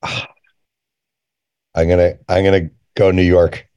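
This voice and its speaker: A man's voice: sits low, level tone, slow, warm tone, clear, resonant tone, no room sound, lo-fi sound. A man speaking as an interview guest, candid and colloquial, repeating phrases for emphasis. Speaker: author and journalist guest. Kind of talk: casual conversational interview.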